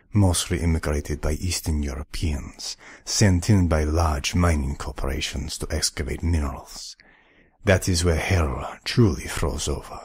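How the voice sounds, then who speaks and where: Man acting a gruff, deep-voiced veteran soldier. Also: no room sound, studio quality, heavily acted. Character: hardened veteran soldier from an ice planet.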